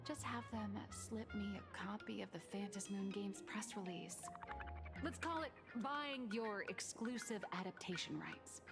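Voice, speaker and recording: A woman's voice: medium pitch, unhurried, lightly acted. A woman voicing a scheming, playful comic artist with a theatrical, wheedling manner in a video game. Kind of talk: game voice acting. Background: game music.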